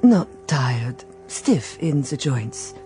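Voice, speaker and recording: An actress playing a dutiful female robot servant in a radio drama, answering in a polite, flat, literal-minded way. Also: a bass voice, drawn-out words, faint music behind, heavily acted. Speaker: maid and cook.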